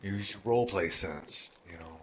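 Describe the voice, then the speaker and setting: A man with a deep voice who runs a roleplay world, talking casually to his group, halting, with many fillers and restarts. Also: a little hiss or hum. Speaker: roleplay game world organizer.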